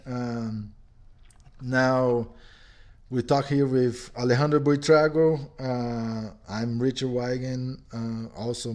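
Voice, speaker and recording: A man's voice: medium pitch, mellow, reverberant. A man from Brazil hosting a podcast, talking casually and rambling with lots of "you know, like" fillers. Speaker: podcast host. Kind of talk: casual conversation.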